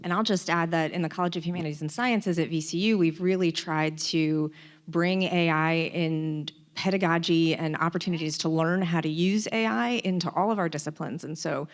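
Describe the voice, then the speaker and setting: A woman's voice: lowish voice, moderate pace. A woman speaking for her college on a panel, informative and a bit promotional. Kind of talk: panel remarks.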